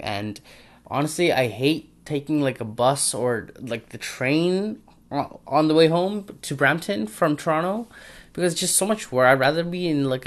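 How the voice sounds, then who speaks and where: A young man talking through his plans in a casual audio diary, rambling and thinking aloud. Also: mid-range voice. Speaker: podcaster.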